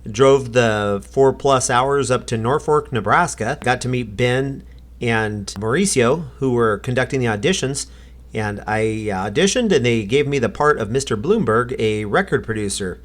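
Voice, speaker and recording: A man's voice: mid-range voice, expressive, normal speed. A middle-aged American man hosting a vlog, talking in a casual narrating and chatting style. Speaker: vlog host.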